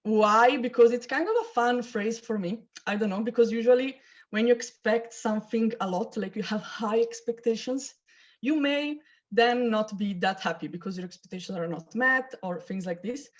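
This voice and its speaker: A young woman hosts an online onboarding session, talking in an informal, chatty way and laughing often. Her voice sits a little high. It comes through a video call with slightly muffled sound. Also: moderate pace. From Italy. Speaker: team leader hosting an onboarding call. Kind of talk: casual online presentation.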